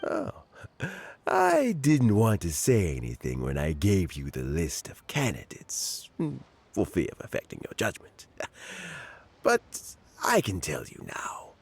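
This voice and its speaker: An actor playing a frail, genial old scholar-official in a video game, breathy and aged, speaking in a halting, kindly, reflective way. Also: male voice, low voice, huge pitch swings, heavily acted. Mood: genial, reminiscing. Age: older. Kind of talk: anime video game voice acting. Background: faint game music.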